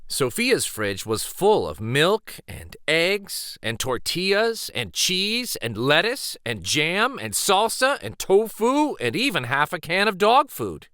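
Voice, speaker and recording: A man reading a children's picture book aloud in an expressive, deliberate storytelling style. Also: fairly high pitch, smooth, no room sound, studio quality. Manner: deliberate, animated.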